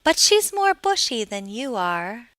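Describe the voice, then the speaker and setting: A woman's voice: a little high, sing-song, a little slow, fairly bright tone, pitched above the performer's own voice. A woman voicing a prim, severe talking rose in a storybook, critical and condescending. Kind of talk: storybook character voice.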